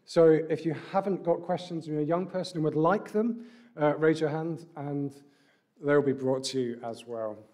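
Man, 30s, preacher; slightly croaky, fairly high voice, conversational sermon delivery at a church lectern mic. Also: normal speed, a little mellow. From England. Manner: conversational, with filler ums and rhetorical questions. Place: large church hall with brick wall, indoors. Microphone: stand mic at a lectern.

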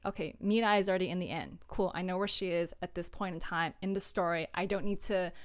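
A woman's voice: mid-range voice, smooth. A young adult woman chatting casually and informally as she explains her work process, with a giggly manner. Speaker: streamer and audio drama producer.

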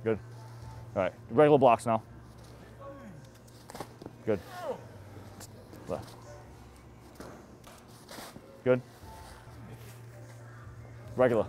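A man's voice: mid-range voice, slow pace, pauses often, a little mellow. A man with a Massachusetts accent coaching baseball catching drills in casual, encouraging, slangy bursts. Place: outdoor batting cage and bullpen.

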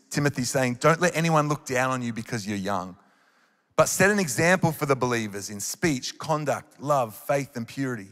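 An Australian man in his thirties preaches on stage, earnest and encouraging. He speaks into a handheld microphone at an average pitch. His voice rings with the long reverb of a big live auditorium. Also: a bit bright. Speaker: worship leader preaching. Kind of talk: sermon. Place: large church auditorium on stage.

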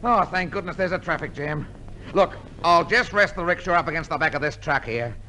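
A man's voice: a little high, a little fast, lightly acted. An actor playing a weary, grumbling working man in a 1950s radio comedy. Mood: worn out. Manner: grumbling, colloquial. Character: weary, grumbling working-class male rickshaw puller. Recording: noisy 1950s radio recording.

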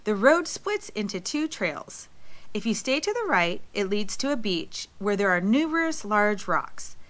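A middle-aged woman reading scripted travel narration in a lively, inviting guide manner, as a voice-over. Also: medium pitch, a little slow. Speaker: travel vlog co-host. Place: voice-over, not recorded on location.

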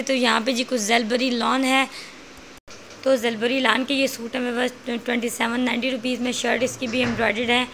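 A woman narrates a shop walkthrough, praising each item as she goes. Her voice sits fairly high, with a gravelly edge and little rise and fall. She records on a phone in a shop, with faint noise behind her. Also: a bit bright. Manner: chatty, praising, listing prices. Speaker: vlogger showing clothes at a shop. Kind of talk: casual shopping vlog narration. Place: indoor clothing shop.

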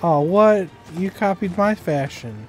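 Higher-voiced man chatting while gaming. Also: expressive, a little slow, poor audio. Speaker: co-op gamer. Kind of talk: casual chat while gaming. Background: game music.

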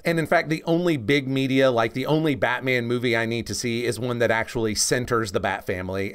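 A man's voice: mid-range voice, fairly bright tone, smooth. A man co-hosting a podcast, explaining casually and with opinions, often checking in with the listener. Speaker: podcast co-host and comics expert.

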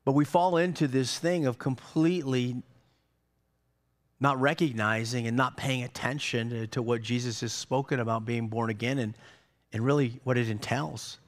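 A middle-aged man preaching a sermon on a church stage, animated, with many repeated words and restarts. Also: mid-range voice, moderate pace. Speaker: pastor.

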